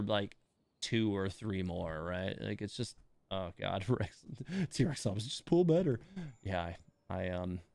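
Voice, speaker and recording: A man's voice: medium pitch, a bit bright, smooth, no echo. A man hosting a game livestream, chatting casually in a rambling, self-deprecating way. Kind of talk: casual livestream chat while playing a game.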